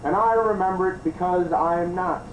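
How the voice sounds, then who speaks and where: A man's voice: high-pitched, moderate pace. A man hosting a review show, giving improvised commentary. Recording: roomy, distant mic, clearly noisy.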